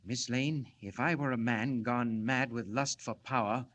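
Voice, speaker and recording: An actor playing an earnest, secretive scientist who explains formally and deliberately in 1940s radio style. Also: male voice, medium pitch, steady tone, fairly bright tone, lo-fi sound, lightly acted. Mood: proud, grave. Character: earnest, proud, secretive male scientist inventor.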